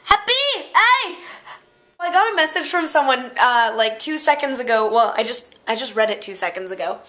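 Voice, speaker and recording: A young woman chatting casually and loudly in a vlog, excitable and joking. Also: a little high, brisk. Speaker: vlogger.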